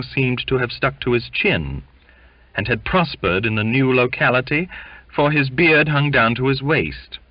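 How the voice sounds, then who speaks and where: A man narrating a literary audiobook in a measured, expressive storytelling manner, in dull, muffled lo-fi audio. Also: mid-range voice, no room sound.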